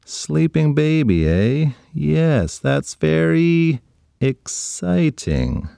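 A man voicing a jealous, scheming young boy who gets excited and pleads. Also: a little high, moderate pace, no echo, studio quality, voice pushed up. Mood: sarcastic.

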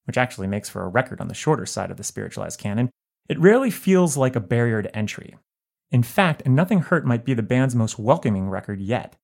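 A man narrating a written album review in a measured, articulate way. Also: mid-range voice, darkish tone. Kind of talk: scripted narration.

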